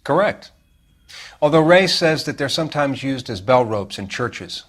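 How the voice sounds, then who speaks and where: An actor playing a wry police medical examiner in a 1940s video game, speaking in a clinical, matter-of-fact way. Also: male voice, medium pitch, lively intonation, a little slow. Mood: dry, matter-of-fact. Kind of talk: cinematic video game acting.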